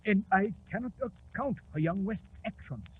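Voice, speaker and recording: A man's voice: sits high, animated, moderate pace. A man playing a fussy, defensive senior clerk in a 1940s radio drama, speaking precise, emphatic English. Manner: precise, emphatic, repeats himself primly. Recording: noisy, tinny 1940s radio recording.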